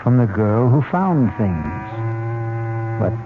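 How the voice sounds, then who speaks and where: A man with a gravelly voice hosting an old radio mystery with measured, ominous scripted narration. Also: fairly low pitch, big pitch swings, exaggerated voice. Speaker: host and narrator. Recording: muffled old radio broadcast, music behind.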